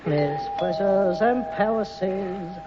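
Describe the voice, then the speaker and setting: A man's voice: sits high, extremely slow, music in the background. An actor playing a grumbling, pretentious London rag-and-bone man, an exasperated grown-up son, in a 1960s radio sitcom on a dull, noisy old recording. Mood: bossy, pompous. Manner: muttering, exasperated, putting on airs.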